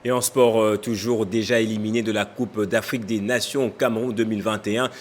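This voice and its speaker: A man reading a television news bulletin in a measured, formal way. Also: fairly low pitch, little pitch movement. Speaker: news anchor.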